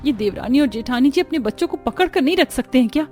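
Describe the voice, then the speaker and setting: A woman's voice: high-pitched, expressive, fast talker, darkish tone. A woman voicing a weary, exasperated young daughter-in-law in a cartoon story, complaining and pleading emphatically. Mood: scolding. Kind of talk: Hindi cartoon story acting.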